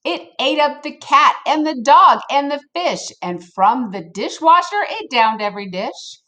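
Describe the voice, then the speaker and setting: A woman reading a picture book aloud to children in an expressive, playful way, with casual asides to her listeners. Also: sits high, unhurried. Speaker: storybook reader for children.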